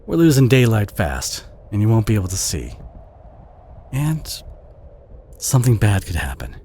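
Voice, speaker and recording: A man with a Southern US accent playing a kind, protective, bashful country boy in an audio roleplay, speaking in a gentle, folksy, hesitant way with a husky voice. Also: fairly low pitch, wildly swooping pitch, normal speed, slight background noise. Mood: earnest concern. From southern United States. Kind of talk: audio roleplay acting, addressed to the listener.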